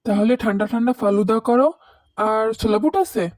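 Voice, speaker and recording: A woman acts out a meek young cartoon husband. The voice is fairly high, with a Bangladeshi accent, and he sounds resigned as he pleads and placates. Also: moderate pace, a little mellow, close to an everyday voice. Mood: easygoing. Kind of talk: comic cartoon dialogue.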